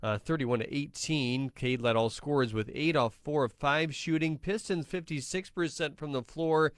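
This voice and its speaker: A man's voice: fairly high pitch, moderate pace, no room sound, studio quality. A man hosting a radio halftime show, recapping the basketball game in sports commentary style.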